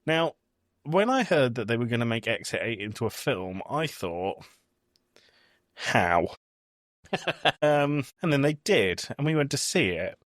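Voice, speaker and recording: A man's voice: medium pitch, big pitch swings, unhurried, pauses often, no room sound, studio quality. A man in his thirties with a British accent, hosting a podcast in casual conversation. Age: 30s. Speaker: podcast host.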